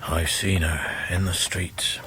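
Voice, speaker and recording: An older fisherman, low-voiced and slow. He is humble and earnest, speaking in rustic dialect as in a Victorian radio drama. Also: little pitch movement, sharp tone, slight background noise, full character voice, rough recording. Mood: earnest grief. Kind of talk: period radio drama acting.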